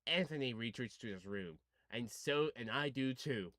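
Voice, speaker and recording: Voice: mid-range voice, lively intonation, moderate pace, fairly bright tone, no echo, clean studio sound. A gaming video host reading a visual novel aloud and adding slangy, casual commentary, stumbling over words now and then. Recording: music playing behind the voice. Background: music.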